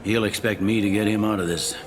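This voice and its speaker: A man's voice: fairly low pitch, fairly even intonation, fairly quick, lightly acted. A man playing a pragmatic, world-weary police captain in a video game, talking in a casual, plain-spoken way. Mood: resigned, brisk.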